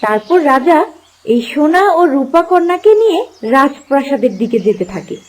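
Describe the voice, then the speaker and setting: Very high-pitched, animated woman storyteller. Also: normal speed. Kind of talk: scripted fairy-tale narration.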